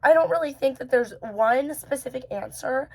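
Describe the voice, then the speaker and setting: A woman vlogger with a hoarse voice, still recovering from losing it, who talks casually and thinks aloud with lots of fillers and self-questioning. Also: sits high, normal speed, a little mellow. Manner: rambling and musing, many fillers and self-questions. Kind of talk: casual reflective talk to camera.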